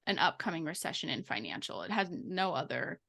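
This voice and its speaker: A woman's voice: low-pitched, sing-song, a little fast, gravelly, pushed and bright. A woman co-hosting a podcast, reasoning aloud in a reflective, conversational way. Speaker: podcast co-host. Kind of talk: casual podcast chat.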